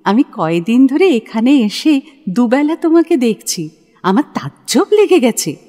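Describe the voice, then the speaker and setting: A woman's voice: fairly high pitch, sing-song, a little slow, dark tone. A woman playing a shrewd, self-assured young woman in an audio play, speaking in a forthright, persuasive, dramatic way. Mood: bold, knowing. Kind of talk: audio play acting of a literary short story. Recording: long hall-like reverb.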